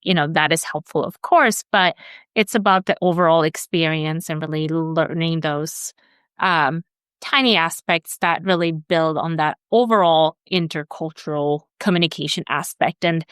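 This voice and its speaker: Woman from Sweden, HR guest, low-ish smooth voice, halting delivery full of fillers, dry close sound. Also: fairly bright tone, dry recording. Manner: hesitant, many fillers and restarts. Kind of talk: conversational interview answers.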